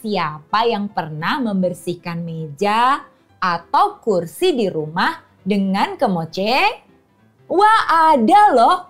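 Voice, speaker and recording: Lively woman telling stories to children. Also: mid-range voice, takes it slowly, soft background music. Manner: animated, exclaiming, addresses children warmly. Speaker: children's storyteller and host. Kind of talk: cheerful storytelling and riddles for young children.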